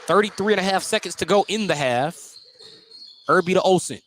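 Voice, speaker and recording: An American man works as a basketball play-by-play announcer on radio. His voice sits a little high for a man. He describes the action in short, clipped running phrases. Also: brisk, fairly bright tone, slight background noise. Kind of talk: live radio basketball commentary.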